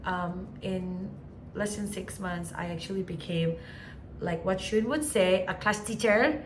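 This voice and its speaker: A woman's voice: fairly low pitch, a little slow, background noise. A woman from Nagaland with a Naga accent, chatting casually about her teaching job and mixing in Hindi and other Indian languages. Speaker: teacher in an international school. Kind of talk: casual chat.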